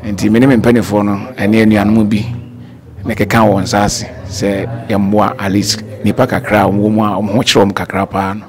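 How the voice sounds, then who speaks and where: A man's voice: on the low side, level tone, darkish tone. A middle-aged man with a Ghanaian accent, a pastor explaining the church's efforts indoors into a handheld microphone. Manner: measured, explanatory, with English terms.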